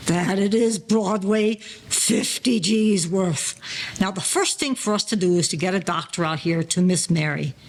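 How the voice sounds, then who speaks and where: A man's voice: sits high, unhurried. A man playing a wary old-time gangster in an audio play, speaking in formal, slangy phrases. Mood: businesslike. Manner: slangy, formal present-tense gangster talk.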